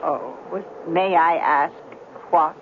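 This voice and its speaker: A woman's voice: low voice, takes it slowly, phone-quality sound. Flustered kindly old lady on noisy radio. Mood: fluttery, gentle. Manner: fluttery, hesitant, earnest. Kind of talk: 1970s radio drama acting.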